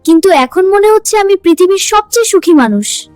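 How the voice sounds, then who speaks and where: A woman's voice: extremely high pitch, lively intonation, moderate pace, darkish tone, faint music behind. A woman voicing an earnest, devout little boy with an innocent, questioning way of talking in a children's cartoon. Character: earnest, eager, devout little boy keeping his first fast. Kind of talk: children's Islamic cartoon dialogue.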